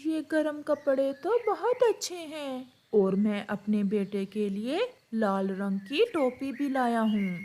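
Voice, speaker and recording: Actress as a kind papa bird, high animated cartoon read. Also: female voice, slow pace, few pauses, a little hiss or hum. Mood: pleased. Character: caring father bird.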